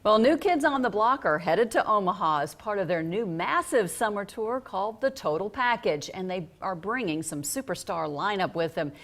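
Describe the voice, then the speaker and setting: A woman's voice: mid-range voice, expressive, moderate pace, hardly any pauses, fairly bright tone. A woman with an American accent co-anchoring a local newscast, reading stories and chatting briefly. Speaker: TV news anchor. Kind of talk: broadcast news reading with co-anchor chat.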